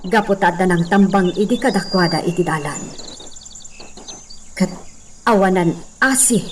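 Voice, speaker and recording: A woman playing a genteel hacienda lady in a radio drama, speaking in a measured, sighing, conversational way with an Ilocano accent. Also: fairly low pitch, slight background noise, lightly acted. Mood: troubled, pensive. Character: genteel hacienda lady, a senyora addressing a worker.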